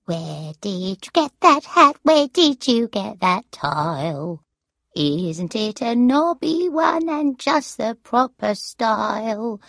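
A woman's voice: creaky, husky, no room sound, heavily acted. A woman voicing a confused, stubborn and suspicious old grandmother in an audiobook story, wandering and questioning in manner. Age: older. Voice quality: strange high singing voice when breaking into song.

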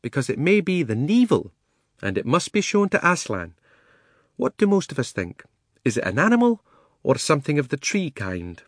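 A storybook lady elephant, acted out by a male narrator, pitched well up. The manner is mild, delicate and a little pleased with itself, choosing words with polite care. The sound is close and dry. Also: huge pitch swings, moderate pace, dry recording. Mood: decisive. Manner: thoughtful, politely pedantic, delicate. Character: genteel, mild, self-satisfied female elephant.

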